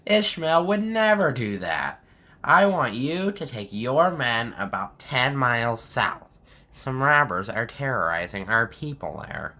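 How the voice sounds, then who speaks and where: An actor playing a stubborn, trusting governor in a Bible audio drama, speaking with authority. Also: male voice, mid-range voice, sing-song, unhurried, bright, forceful tone, exaggerated voice. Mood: dismissive. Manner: authoritative, dismissive of warnings. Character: trusting, stubborn male governor giving orders.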